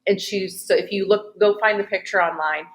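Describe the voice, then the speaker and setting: A woman's voice: mid-range voice, fairly even intonation, brisk. A woman in her forties talking effusively in a casual conversation as a fitness coach. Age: 40s.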